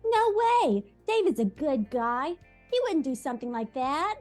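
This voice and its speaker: A woman's voice: high voice, very animated, unhurried, a little mellow, soft background music. A woman playing a naive, sympathetic parent with dramatic reactions in an amateur table read. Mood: shocked. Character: shocked, sympathetic, naive parent hearing gossip.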